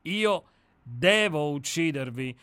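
A man's voice: a little high, expressive, takes it slowly, bright, forceful tone, dry recording, exaggerated voice. A man reading a radio play aloud, voicing a cynical, menacing novelist with a grandiloquent, mocking manner. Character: cynical, menacing male novelist, coldly theatrical. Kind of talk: dramatic reading.

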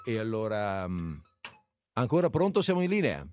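A man hosting a casual call-in radio show, speaking in a halting, reflective way. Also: lowish voice, big pitch swings, darkish tone, smooth. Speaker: radio host.

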